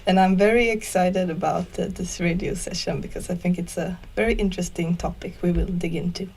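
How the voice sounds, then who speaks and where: A young woman from Sweden with a somewhat low voice joins a casual volunteer radio talk. She speaks in a relaxed, agreeable way. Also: a little fast, husky.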